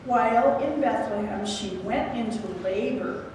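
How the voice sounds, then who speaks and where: A woman's voice: a little high, a little slow. A woman reading scripted scripture narration in a church dramatization. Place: large church interior. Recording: echoey church hall, distant, noisy crowd.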